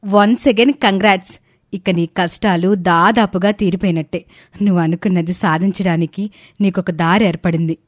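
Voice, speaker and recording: Woman playing a calm, kind lady teacher. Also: on the low side, measured pace, no room sound, clean studio sound. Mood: encouraging.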